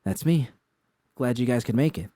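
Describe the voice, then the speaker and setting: A man's voice: mid-range voice, lively intonation, moderate pace, dark tone, no room sound, studio quality, voice pushed up, close to an everyday voice. A man voicing an earnest young woman technician, nervous but professional, hesitant and accommodating. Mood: polite, professional.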